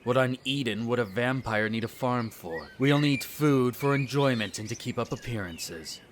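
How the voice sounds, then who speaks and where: A man's voice: mid-range voice, normal speed, a little hiss or hum, close to an everyday voice. A man playing a smug, menacing aristocratic vampire in a scripted audio roleplay, speaking formally with condescending disdain. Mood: disdainful, explanatory. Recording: birdsong and nature sounds behind. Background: birdsong, garden nature ambience.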